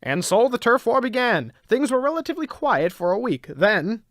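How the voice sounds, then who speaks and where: Guy as mock dramatic radio serial narrator, high voice, very animated. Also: normal speed, dry recording, heavily acted.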